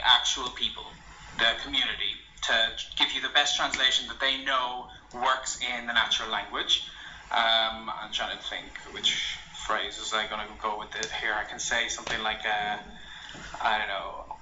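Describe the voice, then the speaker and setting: Man, young adult, casual app demo, very bright sharp tone, slightly muffled audio. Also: mid-range voice, slight background noise. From Ireland. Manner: relaxed, meandering, with frequent ums and uhs. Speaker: language blogger. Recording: roomy, slightly dull home recording.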